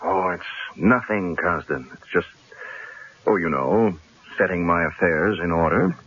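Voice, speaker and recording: An actor playing a cool, shrewd newspaperman in a radio drama, speaking in a measured, dryly sardonic way. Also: low voice, breathy edge, heavily acted. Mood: careful. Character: cool, shrewd newspaper columnist, stalling his would-be killer. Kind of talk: 1970s radio drama acting. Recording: dull, lo-fi old radio broadcast.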